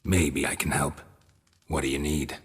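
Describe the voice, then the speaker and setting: An actor playing a stoic, dry-witted monster hunter in a video game, terse and deadpan with a husky voice. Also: male voice, measured pace, full character voice. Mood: dry, guarded. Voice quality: very deep, husky, gravelly. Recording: long echoing hall reverb.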